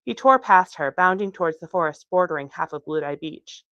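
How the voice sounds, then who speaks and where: A woman reading from a novel aloud as its author, in an expressive storytelling style. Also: fairly low pitch, brisk, no room sound, highs cut off. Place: bookstore reading.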